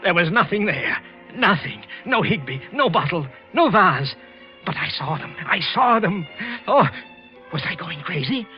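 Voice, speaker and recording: An American actor playing a guilt-ridden office worker and murderer sliding into paranoid madness, stammering and repeating himself in a 1940s radio thriller. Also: male voice, high-pitched, very animated, measured pace. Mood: dazed, fearful. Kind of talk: 1940s American radio thriller acting, first-person narration. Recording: muffled old radio recording with music.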